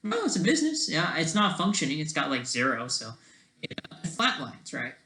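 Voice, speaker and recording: Bright, higher-pitched man rambling on a livestream. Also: wildly swooping pitch. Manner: rambling, halting, trails off mid-thought. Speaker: streamer. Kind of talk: casual rambling livestream talk.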